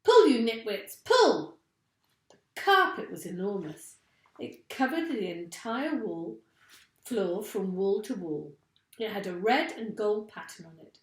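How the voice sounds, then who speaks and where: Animated woman reading a story, echoey room. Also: mid-range voice, measured pace. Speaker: teacher reading aloud. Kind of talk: expressive children's story narration.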